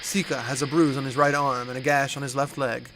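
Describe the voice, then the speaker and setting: A man's voice: medium pitch, flat delivery, a little fast, a bit bright, slight background noise, poor audio. A man reading scripted narration as the narrator of an audio drama.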